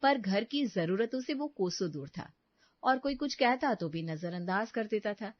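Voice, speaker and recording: A woman narrates a scripted domestic tale as a storyteller. Her voice sits at an ordinary pitch, recorded very dry with no room sound, and the audio is slightly dull and lo-fi. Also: lively intonation, moderate pace, no echo.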